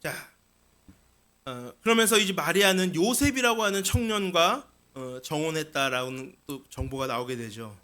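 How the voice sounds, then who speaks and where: A man's voice: on the high side, lively intonation, normal speed, bright, forceful tone. A man preaching a sermon as a pastor, explaining scripture with rhetorical questions and repeated key phrases.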